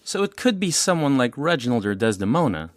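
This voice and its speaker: A man playing a laid-back, teasing high-school boy in an audio drama. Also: big pitch swings, fairly quick, slight background noise, lightly acted. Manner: casual, teasing. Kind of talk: scripted audio drama acting.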